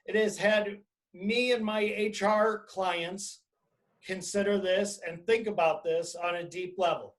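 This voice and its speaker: Man, high voice, deliberate emphatic phrasing, explanatory webinar presenter, video call. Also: fairly even intonation. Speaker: employment attorney presenting a webinar. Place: indoors, on a video conference call.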